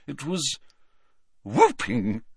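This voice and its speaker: A man voicing a flustered, tipsy woman professor in an audiobook, shrill and grandiose, with halting, drawn-out phrases. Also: wildly swooping pitch, a little mellow, husky, dry recording, studio quality, exaggerated voice. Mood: indignant, dramatic.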